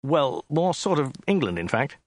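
Storybook Englishman, male reader performing: courteous, bemused, hesitant, dry wit, expressive pitch movement. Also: moderate pace, no echo. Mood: politely baffled. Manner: hesitant, dry, politely baffled.

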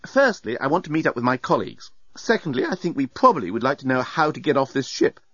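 A man's voice: mid-range voice, very animated, fast, fairly bright tone, breathy edge, no room sound, dull audio. A man voicing a prim, cross woman who speaks in a firm, formal, scolding way in an audiobook story. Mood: insistent.

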